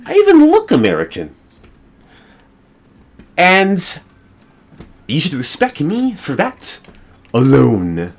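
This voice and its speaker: A whiny, self-important young male gamer, as a man mockingly puts him on, squeaking high above a normal speaking voice. He drawls his boasts slowly, full of smug entitlement. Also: wildly swooping pitch, vocal fry, exaggerated voice. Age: 20s.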